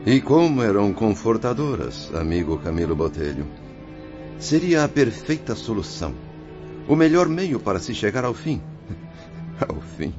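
An actor playing a wistful, despairing spirit in a radio soap, lamenting theatrically. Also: male voice, fairly low pitch, big pitch swings, measured pace. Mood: despairing, ironic. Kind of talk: radio soap opera acting. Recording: dull lo-fi audio, music behind.

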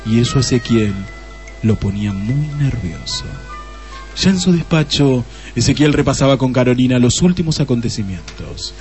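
Narrador argentino de radioteatro, tono medio. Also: male voice, mid-range voice, lively intonation, normal speed, darkish tone, faint music behind. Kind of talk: scripted radio play narration.

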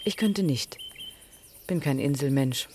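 An actress playing a teasing, inquisitive young student in a naturalistic radio play, probing with questions. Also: female voice, extremely low pitch, animated, measured pace, warm tone, a little hiss or hum. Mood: pensive, challenging. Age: young adult.

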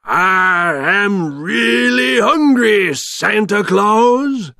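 A gleeful, hungry monster villain from a storybook. The voice is pushed high and swoops widely. He taunts loudly and stretches his words with menace. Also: expressive, vocal fry, dry recording, studio quality, exaggerated voice. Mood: menacing. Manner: loud, drawn-out, taunting.

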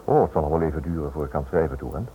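An actor playing a smooth, prying writer in a radio play, polite but insinuating and persistent. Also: male voice, extremely low pitch, very fast, mellow. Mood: polite, coaxing. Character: smooth, prying male writer probing a prisoner's wife. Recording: noisy, dull old radio drama recording.

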